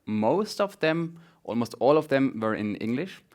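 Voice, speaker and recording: A man with a Swiss German accent, a native Swiss German speaker, gives an informal conference tech talk in a slightly halting way with repeated words. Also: mid-range voice, expressive, darkish tone. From Switzerland. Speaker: conference speaker, front-end developer.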